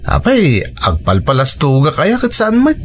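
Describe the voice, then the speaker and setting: A man's voice: on the high side, huge pitch swings, unhurried, over loud music. A man acting a boastful, free-spending father in a radio drama, with an Ilocano accent.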